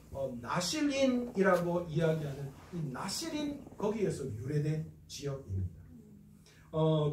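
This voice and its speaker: Lively, high-voiced Korean pastor preaching. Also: male voice, reverberant, far from the mic, poor audio. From North Gyeongsang, South Korea. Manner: asks questions and answers them himself. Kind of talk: expository Bible lecture.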